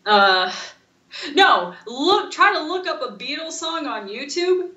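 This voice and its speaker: A woman co-hosting a casual podcast, rambling through stories in an animated, informal way. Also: high voice, slow pace, roomy sound, lo-fi sound. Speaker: podcast co-host. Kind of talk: casual chat.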